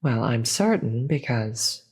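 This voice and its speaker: A performer voicing a weary, regal demon queen with a husky voice and formal, theatrical manner in a scripted audio roleplay. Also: mid-range voice, lively intonation, heavily acted. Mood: condescending, amused. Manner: theatrical, formal, slow deliberate delivery.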